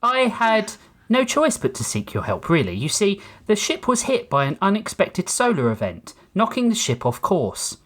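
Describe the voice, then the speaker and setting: A man performs a polite android caretaker from a sci-fi story. The British accent is upper-crust and the tone calm and reassuring, with a dry, sardonic edge and lively pitch movement. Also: normal speed, heavily acted. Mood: polite explaining.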